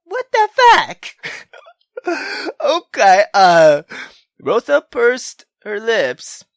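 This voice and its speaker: A woman hosting a gaming video, reading a visual novel aloud with expressive, casual, sweary commentary. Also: a little high, drawn-out words, no echo, clean studio sound. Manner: expressive, swearing, exclamatory asides. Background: faint game music.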